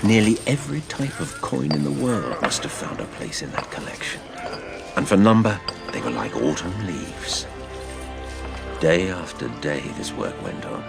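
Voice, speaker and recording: A man playing a seafarer who tells his past adventure in a measured, literary, expressive way. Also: over music, full character voice. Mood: reflective storytelling. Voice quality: low, gravelly. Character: older seafaring man telling his past adventure to a boy, wry and fond. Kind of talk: dramatised storytelling narration.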